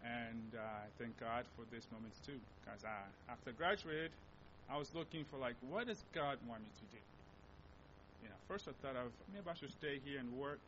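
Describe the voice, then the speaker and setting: A young man from Congo with a Congolese accent, a native Lingala speaker, preaching a sermon as a missionary guest in a church and reading scripture in an earnest, halting way that often repeats and corrects itself. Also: expressive. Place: church sanctuary. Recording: dull lo-fi recording with faint rumble.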